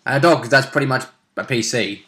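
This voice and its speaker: A man's voice: mid-range voice, fairly quick, a bit bright. A man playing in a tabletop game, bantering casually and chipping in with jokey asides and short agreements over a noisy recording. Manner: chips in with quips and short agreements. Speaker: player and co-presenter.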